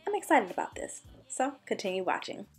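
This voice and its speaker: Young woman doing a tutorial voiceover, mid pitched, upbeat beauty vlogger vibe. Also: big pitch swings, a little fast, loud music behind the voice. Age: young adult. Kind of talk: scripted tutorial voice-over.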